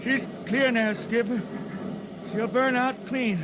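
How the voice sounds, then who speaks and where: A noisy vintage radio drama recording carries a folksy old blind spaceman. He is stubborn and self-sacrificing, pitched very high, and speaks in halting bursts. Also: steady tone. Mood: weary, fading. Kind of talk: 1950s radio drama acting. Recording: noisy 1950s radio recording, loud rumble behind.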